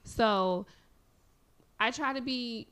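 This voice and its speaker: A woman in a casual conversation, speaking in a measured, thoughtful way and asking questions. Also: fairly high pitch, fairly bright tone, smooth.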